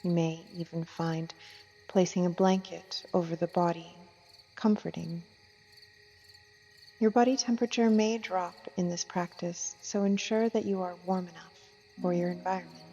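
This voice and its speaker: A woman leading a guided meditation as a yoga teacher, gentle and soothing, with soft phrasing and long unhurried pauses. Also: on the low side. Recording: muffled, long reverb, music and insect sounds.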